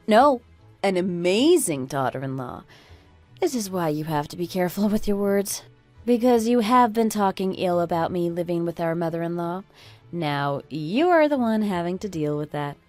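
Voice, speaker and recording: Radio drama daughter-in-law, played by a woman: sharp-tongued, exasperated female, slightly low register, dry close sound over music. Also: dry recording, natural voice. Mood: scornful, triumphant. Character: fed-up, sharp-tongued oldest daughter-in-law, a divorcing breadwinner. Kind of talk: scripted radio drama acting.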